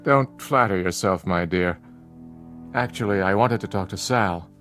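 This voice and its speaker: A man's voice: sits low, a little slow, a bit bright, soft background music. An actor playing a stern, bitter older father in a TV drama, speaking in a formal, dry, cutting manner. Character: stern, bitter ailing father, protective of his daughter. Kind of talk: scripted TV drama acting.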